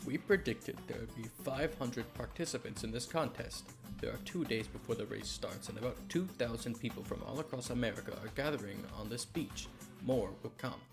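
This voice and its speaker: Man playing a gravelly-voiced formal aide. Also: mid-range voice, a little fast, over music, natural voice. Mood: worried, reporting. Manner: formal, businesslike, reporting. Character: formal, dutiful male aide to a race promoter. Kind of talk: dramatic manga dub acting.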